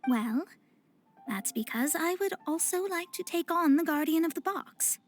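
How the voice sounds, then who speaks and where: A woman's voice: very high-pitched, expressive, measured pace. A woman voicing a proud young woman astrologer in a video game, speaking in a pompous, formal, precise way. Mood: lofty, self-assured. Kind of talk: scripted video game voice acting. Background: game music.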